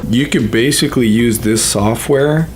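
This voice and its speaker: A man's voice: medium pitch, moderate pace, darkish tone, slight background noise. A man giving casual, blunt commentary with some swearing.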